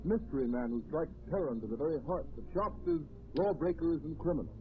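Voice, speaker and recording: Brisk old-time radio announcer, man. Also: on the high side, expressive, slight background noise. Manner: brisk, persuasive sales delivery. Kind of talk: 1930s radio announcing and ad read. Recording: tinny old radio broadcast.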